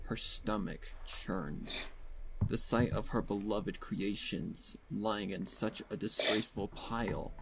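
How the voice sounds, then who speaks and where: A man narrates a dramatic story in a solemn, deliberate way. His voice is low with a creaky edge, and he takes his time over each phrase. There is faint noise in the recording. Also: rough recording.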